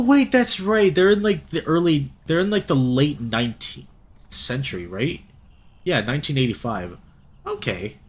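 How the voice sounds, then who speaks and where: A young man reads a visual novel aloud as a let's play host. His delivery is expressive and theatrical, and his pitch moves around a lot as he shifts between narration and his own reactions. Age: young adult.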